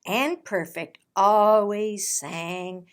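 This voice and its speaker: A woman reading a children's picture book aloud as a storyteller, with deliberate, dramatic phrasing. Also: medium pitch, fairly even intonation. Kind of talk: expressive children's read-aloud.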